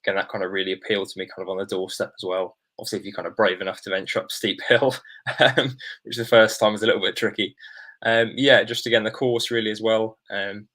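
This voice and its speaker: Young male student, English accent, lowish flat voice, rapid casual panel talk. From Norwich, England. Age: young adult. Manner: hedging, frequent "kind of" and "sort of".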